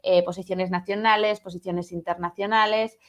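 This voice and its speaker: A woman's voice: fairly low pitch, steady tone, moderate pace. Woman in her thirties from Barcelona. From Spain, Barcelona. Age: 30s. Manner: friendly, fluent, mixes in English terms. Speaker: talent acquisition manager, guest. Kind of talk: guest introduction on an online panel.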